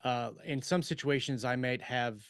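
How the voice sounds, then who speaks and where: A man's voice: medium pitch, normal speed, fairly bright tone, clear, resonant tone, no echo, studio quality. A man talking shop casually as a podcast host and writer.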